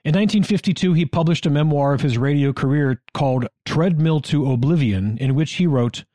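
A man's voice: a little high, brisk, dark tone, no room sound, studio quality. A man with an American accent co-hosting a history podcast, reading scripted narration. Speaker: podcast co-host.

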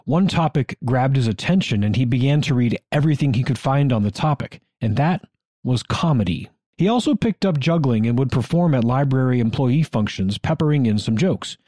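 An American man narrates history as a podcast co-host, reading in a conversational way. His voice is mellow and warm, at a middling pitch, recorded very dry. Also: lively intonation, fairly quick, dry recording.

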